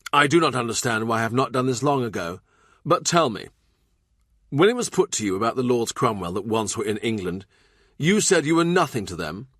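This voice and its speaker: A man's voice: medium pitch, fairly bright tone, no room sound, clean studio sound. A man voicing an imperious yet gracious middle-aged English king in audiobook dialogue, with a commanding, declarative manner.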